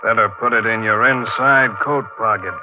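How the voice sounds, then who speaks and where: An actor playing an impassive, heavy-set killer in 1940s radio drama, speaking in a casual, laconic, menacing way. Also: male voice, lowish voice, fairly even intonation, moderate pace, close to an everyday voice. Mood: casual menace. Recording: tinny old radio broadcast, music behind.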